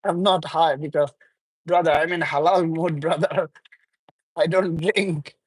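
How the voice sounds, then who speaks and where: A young man from Bangladesh with a slightly high voice, chatting loosely on a livestream. He chuckles often, reads viewers' comments aloud and teases them back. His voice sounds dry and close, with no room around it. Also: steady tone, a little slow, breathy edge, no room sound. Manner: loose, repetitive, teasing banter. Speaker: livestream host. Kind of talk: casual live chat with viewers.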